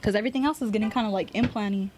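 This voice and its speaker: A woman's voice: medium pitch, a crowd in the background. A woman tattoo artist guest with a Central Coast Californian accent, chatting casually and animatedly on a podcast. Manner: animated, slangy, quick asides. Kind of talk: casual chat.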